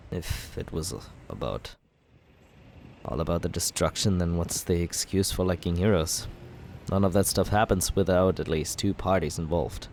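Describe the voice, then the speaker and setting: A man in an ASMR roleplay as a villain, talking smoothly and persuasively in a teasing, flirtatious way. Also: sits low, measured pace, long pauses, a little mellow, slight background noise.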